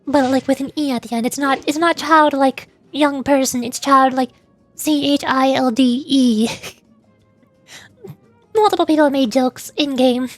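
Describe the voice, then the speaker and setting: A woman with a high voice streams a game and talks casually. She rambles chattily through explanations, with faint music behind her. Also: steady tone, a little slow, dry recording. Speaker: streamer, virtual YouTuber. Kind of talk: casual game livestream chat.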